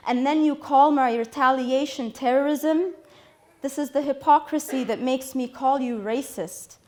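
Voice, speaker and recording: A woman performing a spoken word poem with an impassioned, rhythmic and emphatic delivery. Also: high-pitched.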